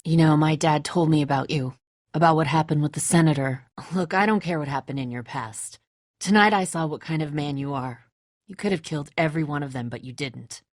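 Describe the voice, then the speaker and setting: A woman's voice: sits low, darkish tone, no echo, studio quality. A woman playing a tough, bitter, defensive apocalypse survivor in a dramatic video game, speaking in a terse, confrontational way. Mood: subdued, reflective.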